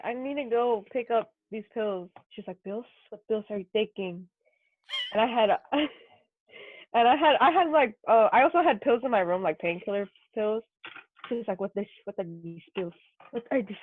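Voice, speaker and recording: A woman's voice: mid-range voice, animated, normal speed, dry recording. A woman in her twenties in casual podcast chat, blunt and joking, swearing casually. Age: 20s. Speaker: podcast guest. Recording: tinny phone-like sound.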